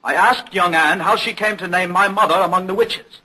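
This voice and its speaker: An actor playing an earnest, indignant young son giving insistent testimony in an old radio drama. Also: male voice, sits high, steady tone, normal speed, pushed and bright, breathy edge, full character voice, low-quality recording. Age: young adult. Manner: insistent, emphatic. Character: earnest, indignant young son defending his accused mother.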